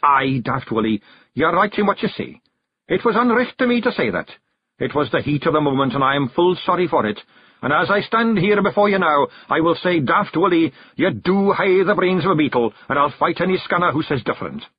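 A man voicing a fierce, bossy little male fairy clan chief with a gruff, high Scottish brogue. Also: lively intonation, a little fast, dull audio. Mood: mock-solemn.

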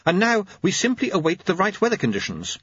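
A man's voice: high-pitched, huge pitch swings, fairly quick, a bit bright, breathy edge, no echo, dull audio, pitched above the performer's own voice, exaggerated voice. A man voicing a diffident, earnest young clockmaker, anxious and hesitant in his speech, in an audiobook. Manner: hesitant, stammering.

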